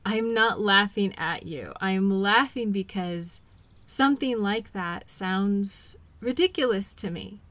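A woman playing a caring, patient girlfriend in an intimate role-play audio, speaking gently and reassuringly to comfort her partner. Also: medium pitch, slow pace, no room sound. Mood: affectionate, reassuring.

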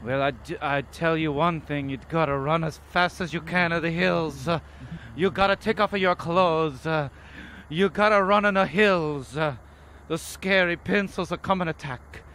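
A man's voice: fairly high pitch, moderate pace, a bit bright. A man voicing a self-pitying, obsessive game designer who whines and repeats himself in broken English. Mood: insistent, excited. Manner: whining, repetitive, mangled grammar. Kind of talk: improvised comedic game acting. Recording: noisy cassette-tape recording.

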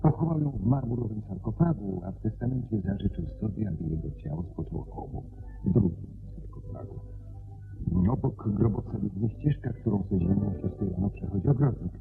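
An actor playing a well-bred gentleman client in a radio play, recounting things soberly in a formal, polite and deliberate manner. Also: lowish voice, lively intonation, vocal fry. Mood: grave. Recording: muffled radio recording with faint noise.

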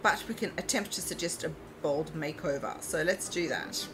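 A woman's voice: low voice, normal speed, background noise. Chatty woman with a wandering accent. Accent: mixed Australian English. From Eastern Europe. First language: Eastern European language. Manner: chatty, reads game prompts aloud, muses to herself. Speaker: let's play host. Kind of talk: casual gameplay commentary.

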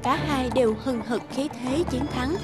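A woman giving scripted narration for an animated fairy tale. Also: high voice, measured pace. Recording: loud music under the voice.

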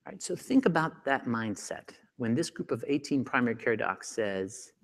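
A man in his forties giving a keynote talk on a conference stage, animated and acting out the voices of doctors, on a lapel mic. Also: mid-range voice, normal speed, gravelly, big-room sound. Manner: animated, acts out doctors' voices, gestures a lot. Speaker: keynote speaker. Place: large conference hall stage.

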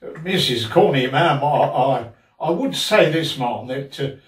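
Pompous older male beadle played by a man, Victorian period comedy. Slow, grandly halting, roomy sound. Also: medium pitch, lively intonation, lightly acted. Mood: courting, flustered. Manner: pompous, halting, chuckling delivery. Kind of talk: theatrical period comedy acting. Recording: roomy, distant camera microphone.

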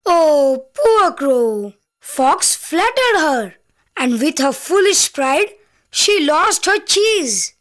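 Female presenter for children's learning, high pitched, deliberate and animated, scripted.

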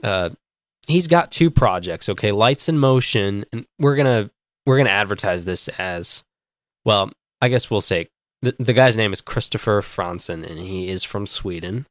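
Congested male podcast host, low voice. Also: animated, normal speed, no room sound. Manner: joking, rambling, stumbling over words. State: congested from a cold. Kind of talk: casual podcast chat.

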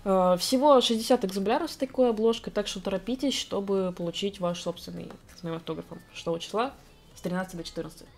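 A young woman artist chatting casually in a vlog, quick and self-deprecating, recorded at home at her computer desk. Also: medium pitch, vocal fry, darkish tone, slight background noise. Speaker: artist and video blogger. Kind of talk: casual vlog chat while drawing. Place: home room with computer setup.

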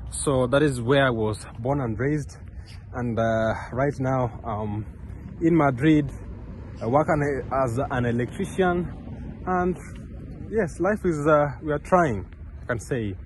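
A man's voice: mid-range voice, takes it slowly, a little hiss or hum, poor audio. Earnest young man vlogging outdoors. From Kenya. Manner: earnest, rambling, with many restarts and fillers. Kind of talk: casual vlog talk to camera. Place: outdoors on a park path beside a road. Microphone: phone camera held close.

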